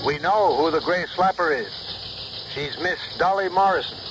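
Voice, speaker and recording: An actor playing a grave newsreader in a 1970s radio drama, declaiming a scandal in measured dramatic phrases. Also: male voice, a little high, lively intonation, lightly acted. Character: newsreader gravely announcing a scandalous society murder. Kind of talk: 1970s radio drama acting, news bulletin delivery. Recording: noisy 1970s radio broadcast recording.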